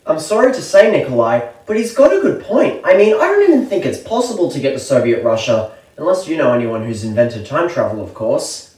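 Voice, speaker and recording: A man's voice: fairly high pitch, sing-song, fairly quick, darkish tone, far from the mic. A man doing a bouncy, cheeky Aussie mate. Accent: Australian. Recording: echoey room, voice off-mic.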